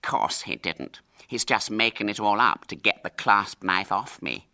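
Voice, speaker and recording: A man puts on a smug, sly storybook boy. He drawls blandly and carelessly, with a bright edge to the voice. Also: on the low side, animated, a little slow, vocal fry, exaggerated voice. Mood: bland, lying.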